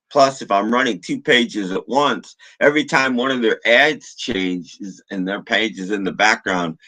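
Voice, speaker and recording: A man with a rough, slightly hoarse voice rambling casually on a livestream in a halting, low-key, mildly grumbling way. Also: fairly bright tone, rough recording. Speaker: live streamer.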